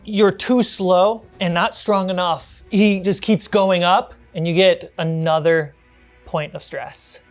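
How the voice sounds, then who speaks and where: Low-pitched, unhurried woman running the game. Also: fairly even intonation, soft background music. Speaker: game master. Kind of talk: narrating a tabletop horror game.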